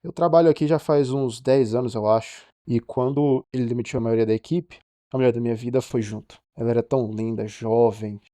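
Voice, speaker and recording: A man voicing a downcast, defensive ship's cook in an animated film, talking in a colloquial, confiding way. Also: mid-range voice, brisk, no room sound, close to an everyday voice. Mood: candid.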